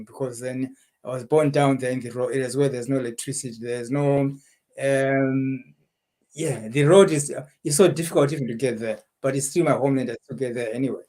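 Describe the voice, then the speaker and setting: A man's voice: mid-range voice, warm tone. Reflective Zimbabwean man as guest. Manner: reflective, laughs, some repeated words. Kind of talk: interview answers.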